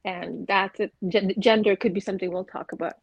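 A woman from Afghanistan speaking with earnest conviction on a casual podcast, her voice husky and a little breathy. The sound is dry and slightly muffled, with little room around it. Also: medium pitch, steady tone, fairly quick, dry recording. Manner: earnest, opinionated, spiritual talk. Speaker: podcast co-host.

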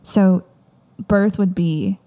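A Southern Californian woman co-hosts a casual podcast, chatty and quick to laugh and gasp in surprise. Her voice sits at an ordinary pitch with a mellow, relaxed tone. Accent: Southern Californian American. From United States. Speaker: podcast co-host.

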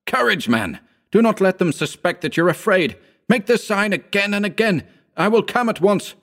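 A man's voice: sits high, animated, moderate pace, fairly bright tone, pitched above the performer's own voice. A man voicing an overwrought, horrified male narrator-hero who exclaims urgently and melodramatically in a horror audiobook.

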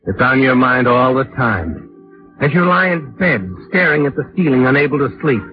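A man's voice: mid-range voice, expressive, natural voice. Guy acting as creepy knowing radio suspense narrator, taunting and dramatic, muffled old recording, music behind. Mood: ominous, insinuating. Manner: dramatic, deliberate, insinuating. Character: ominous, knowing, taunting male storyteller addressing the culprit. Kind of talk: 1940s radio suspense narration in second person. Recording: dull vintage radio with loud music.

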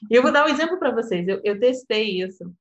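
A woman's voice: on the high side, animated, fast, muffled recording. A woman from Portugal with a European Portuguese accent, an interpreter talking reflectively and personally, often laughing and adding "sabe?". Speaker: interpreter and guest. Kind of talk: reflective casual conversation.

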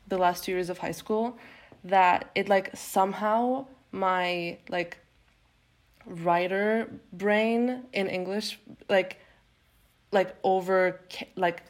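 A young woman from Turkey, a native Turkish speaker, talking casually as a podcast guest, often pausing and restarting her sentences. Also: lowish voice. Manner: hesitant, many fillers and self-restarts. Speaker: guest poet.

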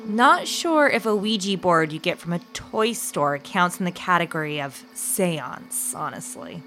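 A bold teenage skeptic in a horror audio drama, acted by a woman. She is dry and challenging, with a slightly low voice that moves up and down freely over music. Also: expressive, a little slow, pushed and bright, heavily acted. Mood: skeptical.

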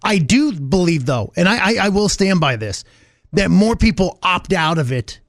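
A man's voice: high voice, very animated, normal speed, no echo. A middle-aged American man co-hosting a casual radio show, emphatic, opinionated and joking, close on a studio microphone.

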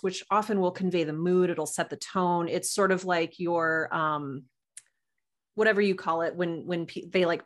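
Female podcast guest, writer type, slightly low register, conversational and keen explainer. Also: fairly bright tone. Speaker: podcast guest, writer and audio drama producer. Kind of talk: casual interview chat.

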